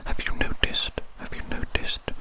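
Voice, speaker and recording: A performer voicing a curious, blunt alien doctor in an ASMR roleplay, in a soothing breathy whisper with repeated phrases. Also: moderate pace, close to an everyday voice.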